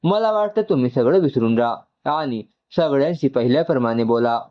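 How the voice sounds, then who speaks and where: A man's voice: mid-range voice, lively intonation, normal speed, muffled recording, close to an everyday voice. A man voicing a subdued young woman consoling her parent in an audio storybook.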